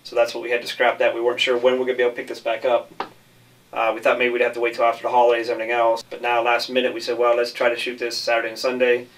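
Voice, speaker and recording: A man's voice: mid-range voice, completely flat delivery, very fast, distant mic, slight background noise, poor audio. A man giving casual interview answers about his work as a film director.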